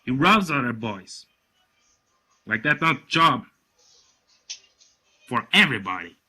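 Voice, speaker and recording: Storybook father, male, acted by a narrator: gruff immigrant boss, Yiddish accent, opinionated, emphatic, forceful and animated. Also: medium pitch, takes it slowly, full character voice. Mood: opinionated, lecturing. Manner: emphatic, declaiming.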